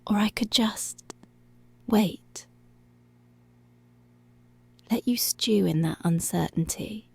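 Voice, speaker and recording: Policewoman, played by a woman: commanding, teasing, seductive ASMR roleplay. Whispery with creaky edges, deliberate and unhurried. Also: mid-range voice, dry recording, heavily acted. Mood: teasing, controlling. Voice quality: breathy, whispery. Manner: slow, deliberate, controlling, drawn-out phrases.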